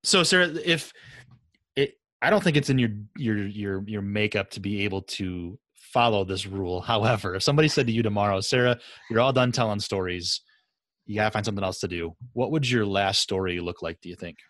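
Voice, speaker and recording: Male podcast host, slightly low voice, very dry recording, easygoing conversational interviewing. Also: brisk, a bit bright, no room sound. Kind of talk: casual interview chat.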